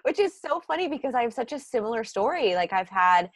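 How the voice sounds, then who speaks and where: A woman's voice: fairly high pitch, very animated, fairly quick, no echo, clean studio sound. A woman co-hosting a casual podcast, speaking hesitantly and reflectively with many fillers.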